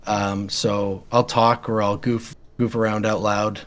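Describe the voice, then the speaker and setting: Low, quiet-spoken man. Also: unhurried. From Canada. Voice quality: quiet speaking voice. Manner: calm, easygoing. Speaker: metal band vocalist, podcast guest. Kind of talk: casual interview chat.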